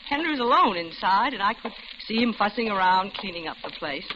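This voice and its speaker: An American actress playing a lonely middle-aged widow, narrating confidingly and genteelly in an old-time radio suspense drama. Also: female voice, medium pitch, lively intonation, brisk, very noisy, lightly acted. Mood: uneasy, reflective. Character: lonely older widowed office worker recounting her doom. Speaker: narrator and lead character. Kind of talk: 1940s-50s American radio suspense acting. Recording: dull old radio recording, loud music behind.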